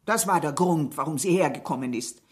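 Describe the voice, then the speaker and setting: A woman's voice: deep voice, fairly quick, darkish tone, natural voice. An actress playing a distressed middle-aged mother under questioning in a radio drama, answering defensively and with agitation. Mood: upset, confiding. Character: distressed, defensive mother of a murdered grown daughter.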